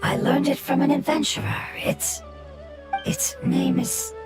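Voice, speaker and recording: An actor voicing a gentle nature spirit who cares for a fungus child, speaking calmly and politely, over game music. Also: sits high, a little slow. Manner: calm, polite, softly formal. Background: loud game music.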